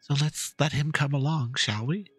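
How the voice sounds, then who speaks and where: Casting: man voicing a patient, coaxing storyteller for a game-story reading, husky bright tone, theatrical, over music. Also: a little high, normal speed, no room sound. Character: storyteller patiently coaxing a child with a dragon fairy tale. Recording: music behind the voice.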